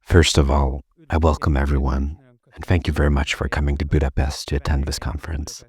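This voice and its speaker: A man with a Hungarian accent speaks slowly and deliberately at a conference panel. His voice is extremely deep and husky, with very little rise and fall. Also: flat delivery, darkish tone. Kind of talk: formal conference talk. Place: conference hall.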